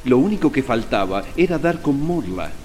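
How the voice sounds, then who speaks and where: A man's voice: mid-range voice, sing-song, moderate pace. A man narrating a scripted radio drama as storyteller. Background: music and rain sounds.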